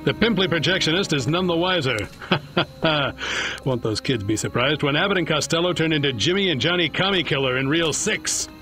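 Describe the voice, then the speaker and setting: A man with an American accent playing a slick, zealous 1950s government agent in a video game, delivering a brisk hard sell. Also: fairly high pitch, animated, bright, forceful tone, soft background music. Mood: smug.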